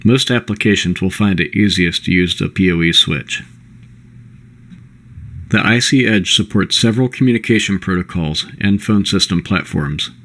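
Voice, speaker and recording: Male presenter, low register, for scripted product overview; measured, instructional read. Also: fairly bright tone. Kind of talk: scripted corporate narration.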